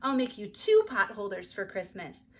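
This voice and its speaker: A woman voicing an earnest, eager young girl, an excitable and easily exasperated big sister in a storybook, with breathy exclamations, on a noisy recording. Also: medium pitch, moderate pace. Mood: eager, delighted.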